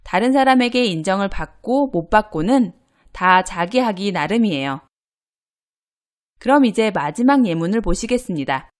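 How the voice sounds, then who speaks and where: A woman teaching a grammar lesson, explaining example dialogues clearly and patiently. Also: mid-range voice, lively intonation, normal speed. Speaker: Korean language teacher.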